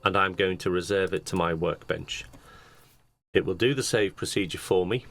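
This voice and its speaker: A man giving an unscripted software walkthrough, explaining each step methodically as a demonstrator. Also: sits low, normal speed. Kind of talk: screen-share tutorial narration, unscripted walkthrough. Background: computer keyboard typing.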